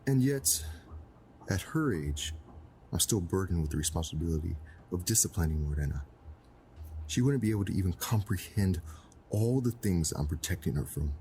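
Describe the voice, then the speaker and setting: A middle-aged man acting as a cold, domineering crime boss and stern father, speaking formally and deliberately with menace in a dramatic scene. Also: low voice, expressive, dark tone. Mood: detached, firm. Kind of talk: dramatic film-style acting. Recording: clearly noisy background ambience.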